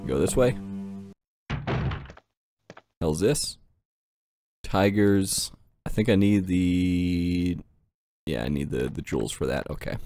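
A man's voice: sits low, takes it slowly, long pauses, warm tone, faint music behind. A man giving casual, joking gameplay commentary in a slangy, sweary way, as host of a gaming video.

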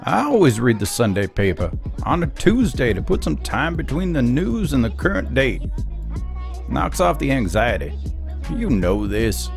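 A man's voice: medium pitch, sing-song, unhurried, gravelly, soft background music. A man playing a grumpy, stubborn old man in an audio drama.

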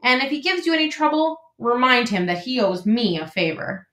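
A woman's voice: a little high, expressive, moderate pace, a bit bright, voice pushed up. A woman voicing a bossy, motherly female goose who talks bluntly and chattily. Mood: fussy. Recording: roomy echo.

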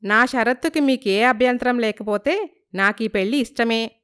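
A woman's voice: on the high side, a little slow, a bit bright, clean tone. A woman playing a determined, forthright and hopeful young bride in a dramatized moral story. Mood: firm.

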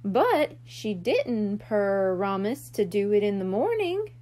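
A woman reading a children's story aloud in an expressive narration style. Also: mid-range voice, slow pace. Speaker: storybook reader.

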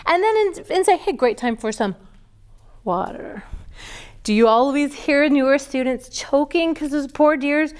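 A middle-aged woman teaching a yoga teacher training class, lively and quizzing her students with questions. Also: high-pitched, unhurried. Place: large wooden-floored yoga studio. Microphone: far camera.

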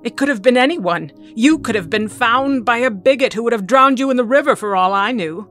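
A woman's voice: a little high, lively intonation, fairly quick, fairly bright tone. Woman playing a guarded, commanding mutant mother. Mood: guarded, regretful. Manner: clipped, authoritative. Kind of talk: audio drama acting. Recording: music under the dialogue.

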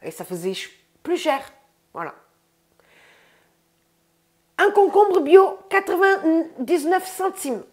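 A woman's voice: very high voice, very animated, takes it slowly, pauses often, darkish tone. Chatty woman with a stuffy cold. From France. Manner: chatty, says "les amis" often, upbeat. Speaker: vlogger. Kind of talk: casual grocery haul vlog. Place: bright room indoors by a window. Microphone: lapel mic.

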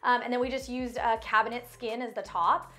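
A woman's voice: fairly high pitch, fairly even intonation, a little fast, bright, forceful tone, soft background music. Chatty woman giving a home tour. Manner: chatty, upbeat, laughs often. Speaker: interior designer. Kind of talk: casual home tour chat. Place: bright home interior rooms.

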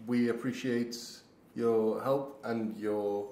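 A man's voice: medium pitch, steady tone, takes it slowly, warm tone. A man co-hosting a podcast in casual, joking banter, repeating his phrases as he goes. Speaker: podcast co-host. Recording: echoey room.

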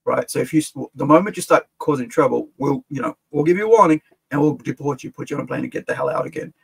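A middle-aged man from Fiji with an American-sounding accent, rambling and animated as he reacts to a video from his desk in a small room. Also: fairly high pitch, fast, vocal fry, husky. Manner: rambling and animated, with frequent restarts. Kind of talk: casual reaction commentary. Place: small room with collectible shelves, gaming chair.

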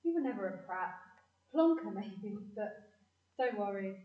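A woman's voice: medium pitch, lively intonation, a little slow, lightly acted. A woman with a British accent playing a wistful, teasing old sweetheart in an amateur audio play. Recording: echoey room, distant muffled mic.

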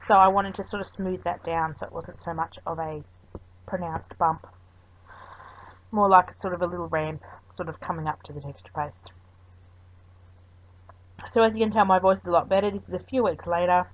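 A woman's voice: lowish voice, fairly even intonation, moderate pace, pauses often. A woman chatting her way through a voice-over craft tutorial, rambling a little with frequent ums. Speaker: craft video host. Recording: dull lo-fi recording.

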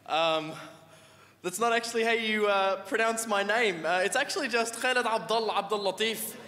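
A man's voice: high voice, moderate pace, bright, forceful tone. A young man from Egypt doing a stand-up comedy set on a large theatre stage, playful and self-mocking, on a handheld mic. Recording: live hall with crowd noise.

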